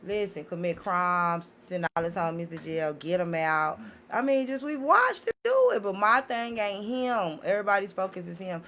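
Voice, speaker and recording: A woman's voice: mid-range voice, lively intonation, moderate pace, hardly any pauses, a bit bright. Emphatic, blunt woman over background noise. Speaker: podcast guest. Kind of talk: impassioned casual opinion talk.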